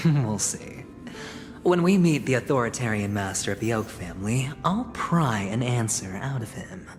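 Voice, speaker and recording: A man's voice: mid-range voice, expressive, measured pace, close to an everyday voice. An actor playing a smug, scheming young male gambler in a video game, with a theatrical, teasing delivery. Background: game music.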